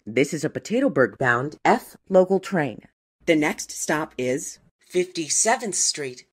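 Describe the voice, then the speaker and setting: Slow, low-voiced woman announcing trains. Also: very animated, slightly rough. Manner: formal, segmented phrasing pieced from clips. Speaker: transit announcer. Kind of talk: scripted automated train station announcement.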